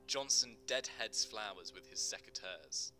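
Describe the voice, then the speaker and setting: A man's voice: fairly low pitch, unhurried, sharp tone, soft background music. A male actor giving scripted, dramatic narration of a screenplay in terse stage directions.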